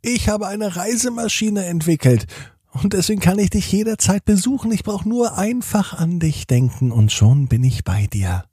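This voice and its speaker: Man voicing an eager, high-pitched schoolgirl. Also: sing-song, moderate pace, mellow, husky, no echo, clean studio sound, exaggerated voice. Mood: excited, proud. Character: excited young schoolgirl proud of her invention.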